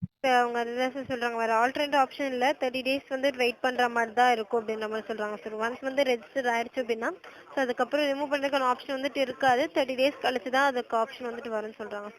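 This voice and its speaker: A woman's voice: a little high, fairly even intonation, racing, a little hiss or hum, rough recording. A woman working as a customer support agent on a call, speaking politely and a little hesitantly. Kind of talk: phone customer service talk.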